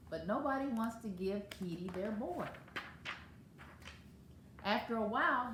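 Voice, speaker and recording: A woman reading a children's picture book aloud as an expressive storyteller. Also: fairly low pitch, a little hiss or hum. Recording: echoey room.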